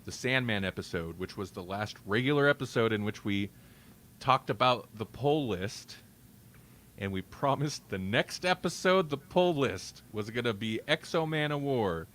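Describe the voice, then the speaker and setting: A man's voice: mid-range voice, lively intonation, unhurried, fairly bright tone. A man hosting a casual podcast, talking in a rambling, wry way and often interrupting himself. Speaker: podcast host. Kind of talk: casual banter on a live-streamed podcast.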